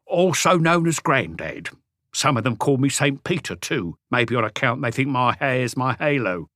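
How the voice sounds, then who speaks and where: A man's voice: mid-range voice, very animated, moderate pace, fairly bright tone, no echo, studio quality, voice pushed up. A man voicing a wry, evasive old house painter with colloquial speech, in an audiobook story. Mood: cagey, wry. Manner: colloquial, evasive, answers questions with questions. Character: cagey old Parisian workman, stocky house painter, wry.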